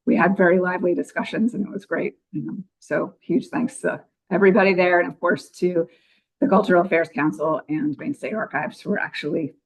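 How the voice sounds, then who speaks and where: A woman's voice: lowish voice, steady tone, a little fast, gravelly, slightly rough. A woman on a commission, her voice a little scratchy, giving a grant and project update with many ums at a public meeting. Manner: frequent ums, apologetic. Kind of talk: meeting report.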